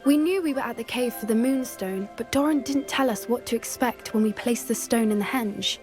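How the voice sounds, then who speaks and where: An actress playing an earnest young female student who loves magical creatures, speaking in a soft, thoughtful, sometimes hesitant way in video game dialogue. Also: on the high side, brisk, faint music behind, close to an everyday voice. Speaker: game character.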